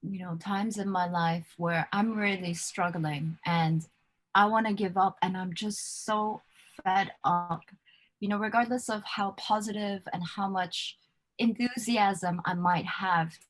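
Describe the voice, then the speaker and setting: A woman hosting a casual live interview over a video call, chatty and quick to laugh, with many fillers. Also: mid-range voice, steady tone, poor audio. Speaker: host.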